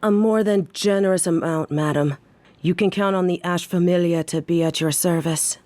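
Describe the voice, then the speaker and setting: Woman playing a courteous, lowish-voiced female gangster. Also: steady tone, moderate pace, warm tone, no room sound, studio quality, lightly acted. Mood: confident, assuring. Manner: courteous, formal, eager to please. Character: loyal, deferential female gangster, confident and devoted. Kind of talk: scripted audio roleplay acting.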